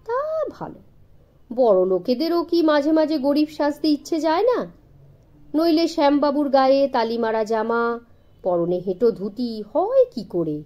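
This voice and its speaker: A woman's voice: high-pitched, big pitch swings, a little slow, warm tone. A woman voicing a sly, teasing male servant in a children's audio story, with mock surprise and mild scorn. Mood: mock admiring. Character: sly, teasing manservant, man, mildly scornful.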